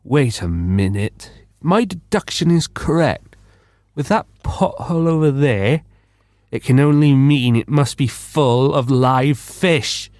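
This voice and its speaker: An actor playing a pompous, dim-witted, excitable detective in a blustering manner for a comic radio drama. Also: male voice, mid-range voice, slow, dry recording, clean studio sound. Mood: puzzled, excitable. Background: music.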